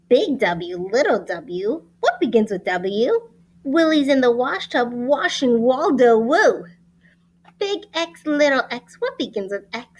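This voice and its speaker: Very animated woman reading slowly to children. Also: on the high side. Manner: expressive, sing-song, deliberate enunciation. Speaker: teacher reading a picture book aloud to children. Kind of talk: animated children's read-aloud.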